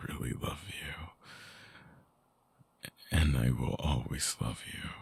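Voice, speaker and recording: A man performs a vampire ex-boyfriend in a romantic ASMR audio drama, vulnerable and obsessively devoted. His voice is extremely low and thick with fry, husky and full of breath. He pleads softly and hesitantly, right up close to the microphone. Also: no echo, exaggerated voice. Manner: hesitant, soft-spoken, pleading. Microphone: close binaural microphone, dry.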